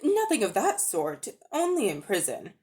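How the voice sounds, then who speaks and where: A woman acts out a sly, self-important older magician uncle from a storybook. He talks down to his listener in grand, condescending tones, with a very lively, swooping range of pitch. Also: normal speed. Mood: evasive, indulgent.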